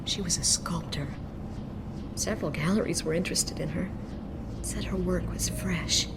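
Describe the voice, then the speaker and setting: A grieving mother, played by an actress in a game drama. Her voice sits a little low and is soft and anguished, with halting delivery. Clear ambient noise runs behind her. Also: natural voice. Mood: sorrowful. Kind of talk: dramatic video game acting.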